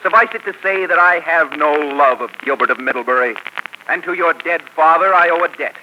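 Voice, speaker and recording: An actor playing a gallant, confident outlaw hero in disguise, speaking in courtly tones, in 1940s radio acting. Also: male voice, on the high side, fairly quick, close to an everyday voice. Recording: muffled, noisy 1940s radio broadcast.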